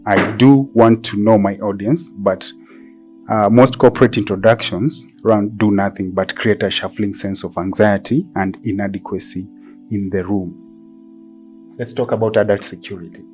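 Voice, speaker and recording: Halting, low-voiced male podcast host. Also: steady tone, a little mellow, soft background music. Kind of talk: conversational interviewing.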